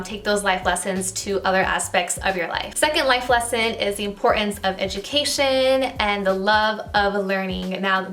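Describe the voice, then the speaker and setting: A young woman from Okinawa talking casually to camera as a vlogger, relaxed and chatty, with plenty of "like" and "um" fillers. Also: medium pitch. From Okinawa, Japan. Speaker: beauty vlogger.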